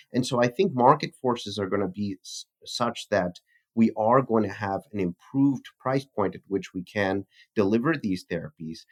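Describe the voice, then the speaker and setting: Male medical expert guest. Lower register, formal interview delivery, measured, articulate, scholarly phrasing. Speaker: physician researcher, interview guest.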